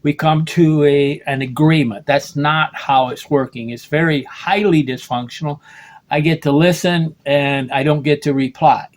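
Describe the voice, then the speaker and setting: A man in his late sixties, from the United States, talks in a loose, rambling monologue. His voice sits a little higher than most men's, and he keeps circling back to reword his thoughts, dropping in "okay?" as he goes. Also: fairly even intonation, measured pace. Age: 60s. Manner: rambling, restarts sentences, keeps asking "okay?". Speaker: video blogger.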